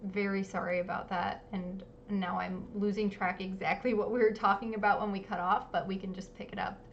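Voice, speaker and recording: A woman hosting a live-streamed artist interview, talking casually with lots of ums and quick apologies. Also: mid-range voice, flat delivery, gravelly, dull audio, slight background noise. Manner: chatty, many ums, apologetic. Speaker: livestream interview host. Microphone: home streaming setup.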